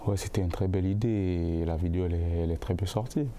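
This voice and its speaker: A young male football player answering interview questions in a casual, halting way, often repeating words while he looks for the phrasing. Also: low-pitched, fairly even intonation, mellow, slight background noise. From born in the United States, raised in Canada. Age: young adult.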